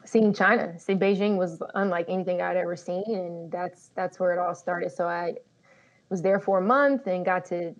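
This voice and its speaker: A woman in her thirties from Colorado, a guest telling her story in a relaxed, self-deprecating way with easy laughs. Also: fairly low pitch, normal speed. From Colorado, USA. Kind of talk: casual interview storytelling. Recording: slightly dull lo-fi audio.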